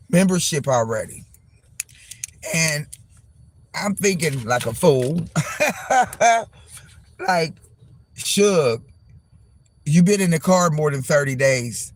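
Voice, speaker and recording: A man vlogging casually from his car, hoarse and losing his voice from a chest cold, talking in a rambling, profane, frank way. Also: fairly high pitch. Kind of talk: casual vlog monologue. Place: parked car.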